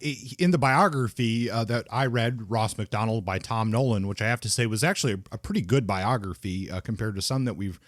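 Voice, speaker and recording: A man's voice: lowish voice, dry recording. Dry-sounding man discussing a novel. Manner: thoughtful, halting, with frequent restarts and fillers. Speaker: podcast co-host. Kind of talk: casual book discussion.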